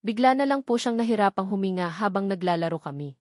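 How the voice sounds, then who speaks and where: A woman's voice: medium pitch, animated, quick pace, a little mellow, no echo, studio quality, lightly acted. A woman with a Filipino accent voicing an urgent, polite, panicked female schoolteacher in an audiobook.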